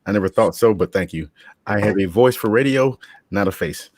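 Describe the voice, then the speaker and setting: A man's voice: fairly low pitch, fairly quick, a little mellow, no room sound. A man co-hosting a casual chat, laid-back and laughing often as he tells stories. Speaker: podcast co-host.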